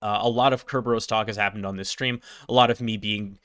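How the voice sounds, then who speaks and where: A man's voice: on the low side, steady tone, fast, fairly bright tone, smooth, no room sound. A man hosting a casual tech news livestream, sniffly with a cold, chatty and laughing between points on a close mic. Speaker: livestream host.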